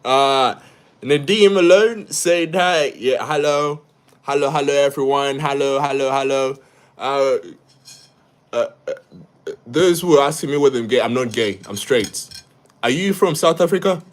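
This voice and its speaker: A man's voice: medium pitch, a little slow. A young man from Uganda, hosting a live stream where he talks through social issues and reads viewer comments, often repeating words and restarting his sentences. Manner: asks "yeah?" after each point. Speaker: livestream host.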